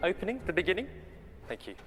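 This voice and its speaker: A man's voice: sits high, sing-song, fast, in a large hall, over loud music. A male conductor, a native Cantonese speaker, rehearsing an orchestra, counting and singing rhythms aloud with polite requests. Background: orchestra playing.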